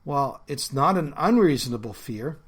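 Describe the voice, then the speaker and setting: A man hosting his own podcast as a pastor, talking in an earnest, conversational way with frequent fillers, his voice lowered by a bad cold. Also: expressive, normal speed, slightly rough. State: has a bad cold, voice lower than normal. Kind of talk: reflective solo podcast talk.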